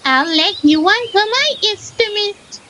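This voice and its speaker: Woman as sweet little dinosaur girl, very high, unhurried, storybook read-aloud. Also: expressive, background noise. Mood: eager. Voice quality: high squeaky childlike. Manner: slow, sing-song. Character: small cheerful young dinosaur girl.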